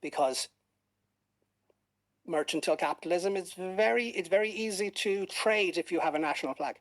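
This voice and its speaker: A man with a somewhat higher-pitched voice speaks in a casual political discussion. His delivery is earnest and conversational. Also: moderate pace, a bit bright.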